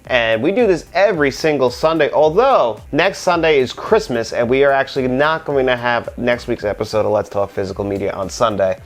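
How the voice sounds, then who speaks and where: A man with a slightly raspy, hoarse voice from the flu, co-hosting a casual podcast chat with enthusiasm. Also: medium pitch, lively intonation, a little fast, few pauses. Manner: enthusiastic, talkative. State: hoarse, getting over the flu. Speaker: podcast co-host.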